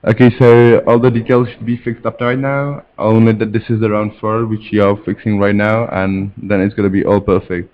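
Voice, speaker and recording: A man's voice: fairly low pitch, flat delivery, normal speed, few pauses. A man with a Czech accent chatting casually as co-commentator on a live card game stream. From Czech Republic. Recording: dull, lo-fi stream audio.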